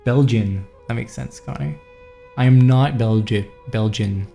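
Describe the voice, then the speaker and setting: A man's voice: mid-range voice, a little slow, gravelly, dark tone, faint music behind. A man from Montreal chatting loosely with viewers on a live stream, rambling and reading out chat. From Montreal, Canada. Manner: rambling, scattered, reads chat aloud.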